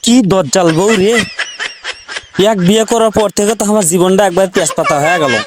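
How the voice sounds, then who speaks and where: Cartoon husband , male actor: exasperated and pleading, North Bengal accent, high register, noisy track. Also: a little fast, lightly acted. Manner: complaining, rhetorical questioning. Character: exasperated, pleading village husband who turns on his wife. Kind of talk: comic cartoon dialogue. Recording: clearly noisy recording with clicks.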